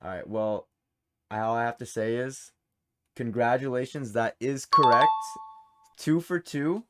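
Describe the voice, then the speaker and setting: A man's voice: medium pitch, a little slow, bright, forceful tone. A man hosting a video game trivia quiz in casual chat, informally reading questions and answer options aloud. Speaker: quiz host.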